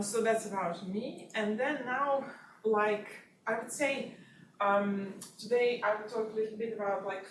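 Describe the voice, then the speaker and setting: A woman's voice: medium pitch, roomy sound. Woman, seminar presenter, English, hesitant fillers, distant mic, noisy recording. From Bosnia. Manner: frequent fillers and restarts, slightly non-native phrasing. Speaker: research fellow giving a seminar talk. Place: seminar room.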